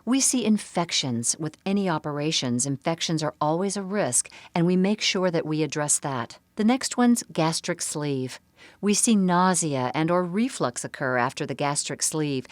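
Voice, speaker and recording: Low-voiced woman narrating, very dry sound. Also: expressive, moderate pace, no room sound. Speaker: seminar narrator. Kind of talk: scripted medical presentation narration.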